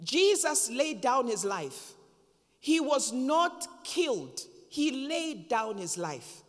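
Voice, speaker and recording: Slow, emphatic Zambian woman preaching. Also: high voice, big pitch swings. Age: 50s. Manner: emphatic, slow and dramatic with pauses. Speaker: pastor. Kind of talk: sermon. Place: large church hall, on stage at a pulpit. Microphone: handheld microphone. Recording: long reverb of a church hall.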